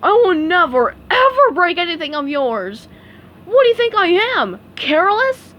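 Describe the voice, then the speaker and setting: A woman voicing a big, clumsy, goofy male starfish who talks loudly and emphatically as she reads a storybook. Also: high-pitched, expressive, normal speed, lo-fi sound. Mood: indignant. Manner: loud and emphatic.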